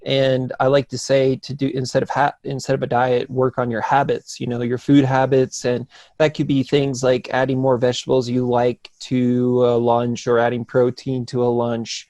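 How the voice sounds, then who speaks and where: A man's voice: medium pitch, completely flat delivery, normal speed, hardly any pauses, a little mellow, dry recording. A man from New Jersey, a nutrition coach talking casually as a podcast guest, easygoing and full of fillers. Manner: easygoing, loose with fillers and restarts. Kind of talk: casual conversation.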